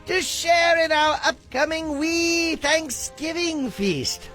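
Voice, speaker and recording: Bright, high-voiced theatrical cartoon king. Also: slow pace, faint music behind, heavily acted. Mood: earnest, conciliatory. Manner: theatrical, courtly, formal. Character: kindly, dignified dragon-realm king, formal and conciliatory. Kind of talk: animated cartoon acting.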